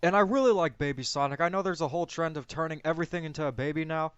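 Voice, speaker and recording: A man's voice: on the high side, rapid-fire, muffled recording. A man co-hosting a film discussion in a casual, conversational style.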